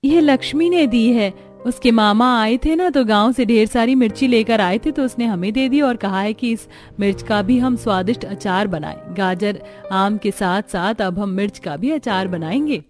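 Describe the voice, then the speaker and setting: A woman voicing hardworking, excitable young village daughters-in-law in a Hindi cartoon story, with an expressive, exclaiming delivery. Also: fairly high pitch, moderate pace, soft background music, lightly acted. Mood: surprised, chatty.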